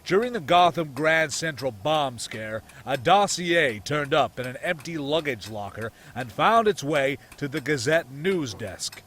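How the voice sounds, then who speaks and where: An actor as a sneering middle-aged male mayor in a comic book drama, smug and full of insinuation. He is slow and a little high-pitched, with faint noise behind. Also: lively intonation, a bit bright. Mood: insinuating. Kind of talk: motion comic voice acting.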